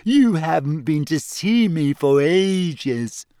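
A man's voice: on the high side, darkish tone, clean tone, no echo, studio quality. A man reading an audiobook, voicing a glum, sly schoolgirl ghost who mopes and drags her words. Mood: moping. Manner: morose, moping, slow. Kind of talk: audiobook character voice.

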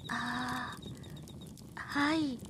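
A woman's voice: high-pitched, fairly even intonation, extremely slow, noisy background. An actress playing a shy, polite young woman with a timid, hesitant manner in a radio drama.

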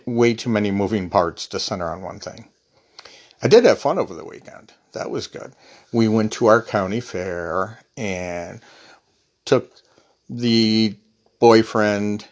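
Guy rambling on his podcast, lowish voice, wry and joking at himself. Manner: rambling, wry, self-deprecating. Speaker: podcast host. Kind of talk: casual solo monologue.

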